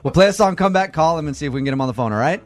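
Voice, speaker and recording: A man's voice: a little high, lively intonation, racing, faint music behind. A man hosting a radio show with casual, joking morning banter. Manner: joking, teasing.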